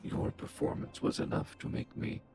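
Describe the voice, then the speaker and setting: An actor playing a huge, stern combat robot in a video game, speaking in a formal, measured, emotionless but respectful manner. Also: male voice, music in the background, natural voice. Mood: stern, acknowledging. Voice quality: very deep, gravelly. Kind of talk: video game character acting.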